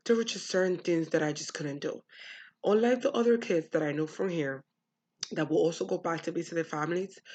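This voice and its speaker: A woman's voice: lowish voice, a little mellow. Blunt, frank woman venting. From United States. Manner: blunt, frank, swears freely. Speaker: vlogger. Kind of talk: candid personal monologue.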